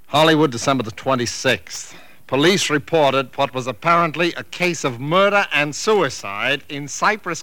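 A man's voice: a little high, bright, forceful tone, no room sound. An actor playing an earnest, uneasy male rental agent in a 1940s radio drama, with an insistent manner.

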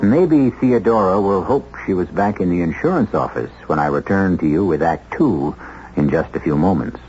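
A man's voice: sits low, expressive, moderate pace. A man hosting a 1970s radio drama with wry, theatrical scripted narration. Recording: muffled 1970s radio broadcast, music behind.

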